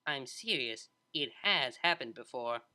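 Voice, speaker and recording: A woman's voice: a bass voice, lively intonation, a little slow, sharp tone, exaggerated voice. A woman playing a friendly, distinguished older pony official in an audio story, composed and authoritative. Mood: stern.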